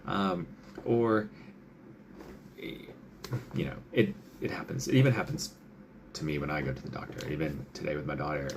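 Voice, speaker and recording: Deep gravelly-voiced male doctor. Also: fairly even intonation, moderate pace, pauses often, slight background noise. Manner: chatty, many fillers, acts out quoted patient and doctor lines. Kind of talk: casual advice talk.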